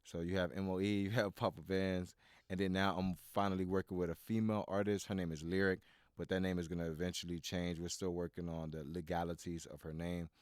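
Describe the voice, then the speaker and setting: Deep-voiced man from Miami, rambling casually. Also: flat delivery, fairly quick, smooth, dry recording, studio quality. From Miami, USA. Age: 30s. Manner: rambling, full of "you know" and restarts. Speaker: guest, studio owner. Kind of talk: casual interview talk.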